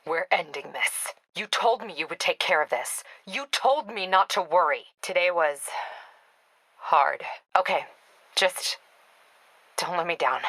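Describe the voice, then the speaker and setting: A woman's voice: mid-range voice, sharp tone, dry recording, close to an everyday voice, rough recording. A woman voicing a tough, no-nonsense female police captain in a video game, speaking in a terse, authoritative, dry manner. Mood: firm, commanding, then subdued, bitter.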